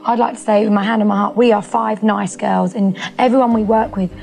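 A woman answers a TV interviewer in a guarded, diplomatic manner, talking quickly. Her voice is mellow and darkish in tone. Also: mid-range voice, fairly even intonation, vocal fry.

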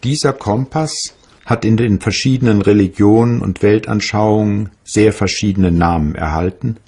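Actor as deep, husky, reflective male physicist. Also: mellow. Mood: pondering. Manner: measured, philosophical. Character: reflective male German physicist recalling his memoirs. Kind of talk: dramatised memoir reading.

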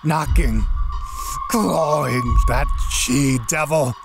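A man's voice: medium pitch, drawn-out words, gravelly, over music, voice pushed up. A man voicing a terror-stricken madman in a horror audiobook, raving in broken, repeated phrases. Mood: frenzied terror. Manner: feverish, repetitive, broken phrases.

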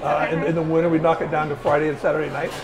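Fast-talking middle-aged man, chatty. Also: fairly high pitch. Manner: relaxed, chatty, with frequent "uh" pauses. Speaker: winery owner. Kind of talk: casual interview answers. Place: indoor winery tasting room. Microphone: lapel mic. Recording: lapel mic with busy crowd noise behind. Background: crowd chatter.

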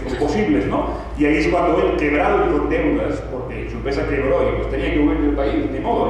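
A man in his forties talks to an audience from behind a panel table, spinning a story with animated asides. His voice sits a little high and lands a few feet from the table mic. The room is roomy and echoing, with a long tail on each word and a faint hiss underneath. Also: far from the mic. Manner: animated storytelling with wide hand gestures and asides. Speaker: author presenting his book. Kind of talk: lecture-style talk to an audience. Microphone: table mic on a stand.

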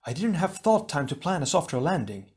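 A man's voice: a little high, very animated, brisk, a little mellow, pitched above the performer's own voice. A man voicing a cheerful, chatty young male air hero who speaks in broken, jumbled grammar.

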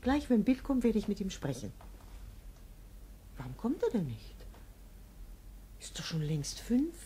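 An actress playing a tired, orderly mother in an old radio drama, brisk in manner. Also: medium pitch, big pitch swings, dark tone, a little hiss or hum. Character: tired older mother, fussy about order. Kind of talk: 1950s radio drama acting. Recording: old radio broadcast with music.

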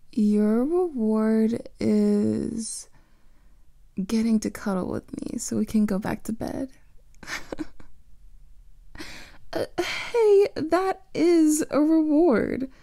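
A woman playing a playful, affectionate vampire lover in an ASMR roleplay, speaking softly and often in whispers into a close microphone. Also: on the high side, gravelly, no echo. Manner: soft teasing, often whispered.

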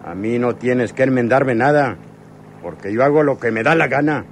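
An actor plays a callous male gang boss in a radio drama, scornful and domineering. His mid-pitched voice sits in a faintly noisy old recording. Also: normal speed, close to an everyday voice. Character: arrogant, callous male outlaw gang leader. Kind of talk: radionovela acting.

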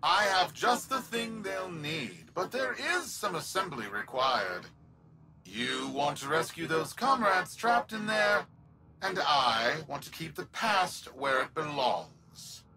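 A man playing a scheming corporate villain in a sci-fi game, speaking in a smug, drawn-out, theatrical way. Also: a little high, very animated, sharp tone, faint music behind, exaggerated voice. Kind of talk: sci-fi game character message.

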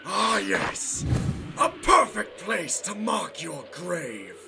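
A man voicing an arrogant, hot-tempered alien warrior villain in an anime parody, haughty, sneering and sarcastic, with a strained gravelly voice. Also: high voice, sing-song, drawn-out words, loud music behind the voice, heavily acted. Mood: haughty contempt. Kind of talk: exaggerated anime parody dub acting.

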